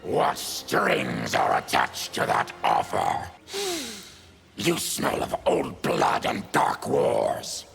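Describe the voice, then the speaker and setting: An actor playing a proud, menacing monstrous warrior with a guttural growl, in a fighting game. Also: male voice, very deep voice, huge pitch swings, extremely slow, a bit bright, faint music behind, full character voice. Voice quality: guttural, gravelly. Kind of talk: fighting game character dialogue.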